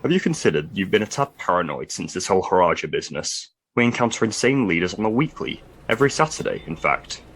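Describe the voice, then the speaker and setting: Very low-voiced, dry military commander. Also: male voice, sing-song, quick pace, few pauses, a little hiss or hum. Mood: skeptical. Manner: clipped, dry, authoritative. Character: stern, dry-witted male military commander. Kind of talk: audio drama acting.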